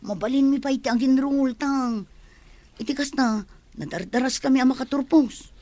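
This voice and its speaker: A woman's voice: high voice, moderate pace, dark tone, no echo, natural voice. A woman playing an earnest young student daughter in an Ilocano radio drama. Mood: earnest pleading. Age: young adult.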